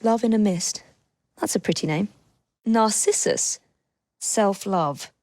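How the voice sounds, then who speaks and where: A woman's voice: mid-range voice, slow, a little mellow, no echo. An actress playing a composed young Victorian woman assassin with an English accent in a video game, wry, proper and determined. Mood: measured. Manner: crisp, proper, understated.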